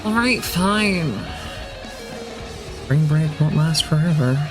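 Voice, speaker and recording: A woman's voice: sits low, expressive, slow pace, music in the background. An actress playing a reserved, awkward young female student in an audio drama, speaking hesitantly. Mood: hesitant, resigned. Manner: hesitant, shy, understated.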